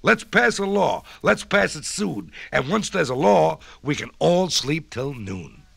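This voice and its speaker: A man voicing a crowd of indignant farm animals, shouting in singsong rhymes. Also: fairly high pitch, sing-song, unhurried, gravelly, husky, dry recording, pitched above the performer's own voice, heavily acted.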